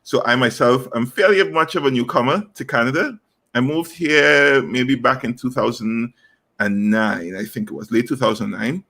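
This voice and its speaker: A man's voice: mid-range voice, moderate pace, creaky, a bit bright. A man in his thirties from Trinidad and Tobago, answering interview questions earnestly with frequent fillers and restarts. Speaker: guest, inclusive recruitment specialist.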